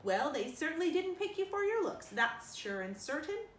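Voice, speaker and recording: A woman's voice: high-pitched, sing-song, normal speed, reverberant, far from the mic, slight background noise, pitched above the performer's own voice. A woman voicing a blunt, nosy, disapproving older village woman in a storybook, with an emphatic, outspoken manner. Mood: blunt, scolding.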